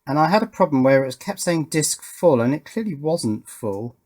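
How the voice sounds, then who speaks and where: A man's voice: fairly high pitch, expressive, normal speed, a little mellow. A middle-aged man, talking casually and thoughtfully as he hosts an electronics tutorial, rambling a little in a matter-of-fact way. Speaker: electronics hobbyist video host. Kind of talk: casual chatty tutorial narration with reminiscence.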